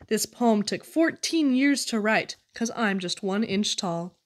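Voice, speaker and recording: A woman giving an expressive reading of children's poetry. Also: medium pitch, measured pace. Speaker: poetry reader.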